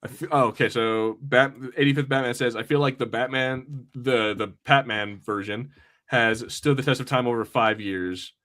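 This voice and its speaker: A man's voice: mid-range voice, steady tone, moderate pace, a bit bright. A man in his thirties co-hosting a podcast, chatting loosely, rambling, and reading out viewer comments. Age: 30s. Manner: rambling, easy-going, laughs often. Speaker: podcast co-host. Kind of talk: casual podcast banter, reading chat comments.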